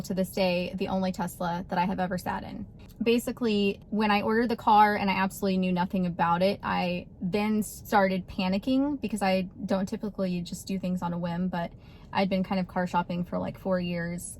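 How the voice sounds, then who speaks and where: Mom in her 30s just chatting to the camera, laughs a lot, gets animated, mid pitch, bit of faint noise behind. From Texas, USA. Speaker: vlogger. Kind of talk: casual talk to camera.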